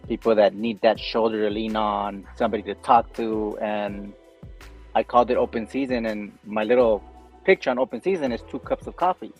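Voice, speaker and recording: A man's voice: lowish voice, fairly quick, a little mellow, soft background music. A man from Guatemala, a podcast guest talking earnestly and openly in casual conversation. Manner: earnest, open about personal struggles.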